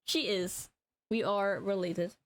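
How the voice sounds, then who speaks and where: A young woman from Denmark chatting casually on a gaming livestream, excitable and giggly, with plenty of swearing. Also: medium pitch, takes it slowly. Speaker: live streamer.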